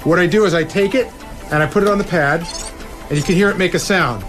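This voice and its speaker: A man's voice: high voice, fast. A man presenting a gadget segment in a casual product demonstration. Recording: faint music under the voice.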